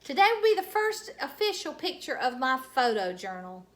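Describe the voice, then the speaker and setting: A woman voicing an excitable, loud, cheeky first-grade girl in a storybook, exclaiming in childish grammar. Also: high-pitched, normal speed, voice pushed up. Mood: excited, loud.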